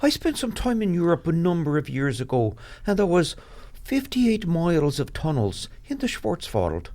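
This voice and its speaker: A woman plays an excitable, curious male priest from a small town. The voice is fairly low for a woman and leaps dramatically up and down, suited to improvised radio comedy. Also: moderate pace. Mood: fascinated. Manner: excitable, swooping.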